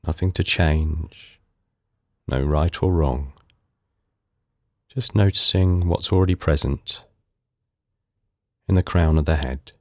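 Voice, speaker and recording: A man leading a guided meditation in calm, unhurried, soothing short phrases with pauses between them. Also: a bass voice, no room sound, studio quality. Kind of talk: guided body scan meditation.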